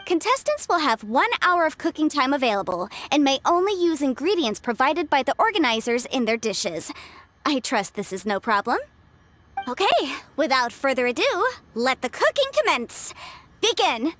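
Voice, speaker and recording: An actress playing a lively female contest host announcing in a game cutscene, with a showy manner. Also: high voice, normal speed, a little hiss or hum. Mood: formal.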